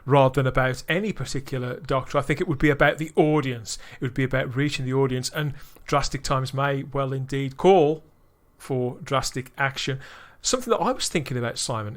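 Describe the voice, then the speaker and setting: A man's voice: mid-range voice, fairly quick. A man hosting a podcast, thinking aloud in long, musing sentences during a casual discussion. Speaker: podcast host.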